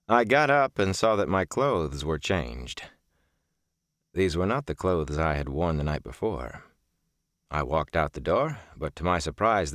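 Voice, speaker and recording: Low-voiced man narrating an audiobook. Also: no room sound, studio quality. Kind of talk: scripted first-person literary audiobook narration.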